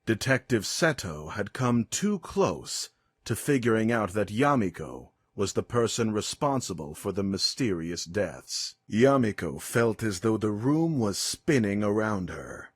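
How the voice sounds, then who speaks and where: Guy doing dramatic story narration, husky and grave, really dry close sound. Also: fairly low pitch, very animated, no echo. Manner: grave, deliberate, suspenseful.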